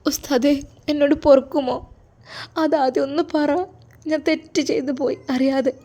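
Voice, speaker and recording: A woman's voice: sits high, steady tone, moderate pace, a little mellow, voice pushed up, natural voice. A woman voicing an anxious, remorseful young daughter in a story, with a Kerala Malayalam accent. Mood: tearful, pleading. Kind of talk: storytelling character voice.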